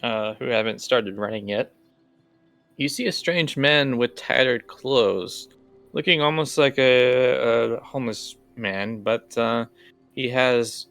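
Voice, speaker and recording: A man game-mastering a tabletop role-playing game, narrating off the cuff in a halting, conversational way. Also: medium pitch, gravelly, a bit bright, soft background music.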